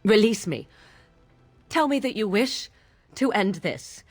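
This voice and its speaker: A woman's voice: medium pitch, expressive, no room sound, faint music behind. Proud, guarded young witch. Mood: anguished, pleading. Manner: haughty, dramatic, halting under emotion. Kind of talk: video game character acting.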